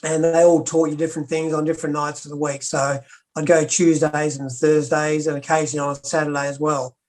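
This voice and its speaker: Older Australian man, interview guest, even flat delivery, slightly high voice. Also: a little fast. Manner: steady, matter-of-fact recounting. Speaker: guest, former pro wrestler. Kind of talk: interview storytelling.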